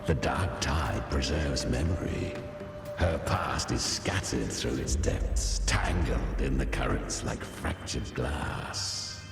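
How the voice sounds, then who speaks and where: An actor playing a creepy preacher-like man in a video game, speaking in cryptic, solemn pronouncements with false gentleness. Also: a bass voice, expressive, takes it slowly, gravelly, heavily acted. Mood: condescending, sinister. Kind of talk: theatrical video game acting. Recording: echoing hall reverb, loud music.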